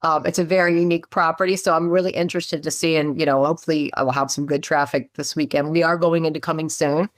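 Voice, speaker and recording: A woman real estate agent telling stories in a chatty, animated way over a video call, her voice low in the morning. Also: quick pace, few pauses, dry recording, studio quality. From Maryland, USA. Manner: chatty, animated storyteller, lots of fillers and asides. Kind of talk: casual meeting chat on a video call.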